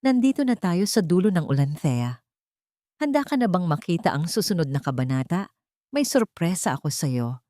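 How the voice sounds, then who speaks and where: A woman's voice: fairly low pitch, big pitch swings, normal speed, warm tone, no room sound, clean studio sound. A woman storyteller voicing a devoted, reassuring male lover with a tender, coaxing manner. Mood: tender anticipation. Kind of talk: dramatic audio storytelling.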